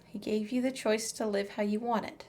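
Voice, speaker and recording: A woman's voice: mid-range voice, steady tone, moderate pace, darkish tone, a little hiss or hum. A woman game streamer, reading out dialogue and reacting as she plays.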